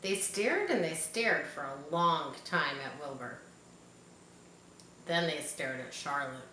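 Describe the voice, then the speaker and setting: A woman reading a children's story aloud in an expressive narrating style. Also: sits low, slow. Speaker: audiobook reader. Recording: echoey room, faint background noise.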